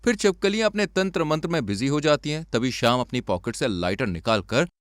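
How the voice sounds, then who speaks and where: A man's voice: on the high side, lively intonation, fairly quick, no room sound, clean studio sound. A man narrating a scripted cartoon story as a storyteller.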